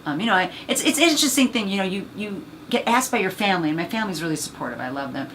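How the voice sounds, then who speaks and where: A woman with a husky, low voice, chatting as an interview guest about her acting career, rambling and filling gaps with "you know.". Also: lively intonation, very fast, fairly bright tone, a little hiss or hum, low-quality recording. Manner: rambling, many fillers. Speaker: actress and voice actor guest. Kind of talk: casual interview chat.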